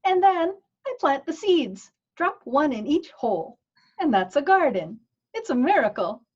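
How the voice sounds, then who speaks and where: A woman's voice: high-pitched, very animated, unhurried. A woman playing an earthy, zealous religious sister in a comic table read, telling things with rapturous emphasis. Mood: rapturous, earnest. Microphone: video call. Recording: dull, lo-fi online call audio.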